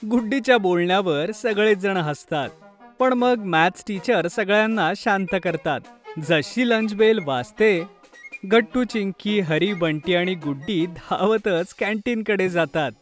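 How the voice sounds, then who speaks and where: Male narrator, high register, scripted kids' story, music bed. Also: unhurried.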